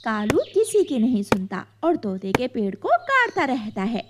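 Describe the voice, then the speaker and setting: A woman narrating a children's cartoon story in a steady, clear way. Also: a little high, moderate pace, noisy background.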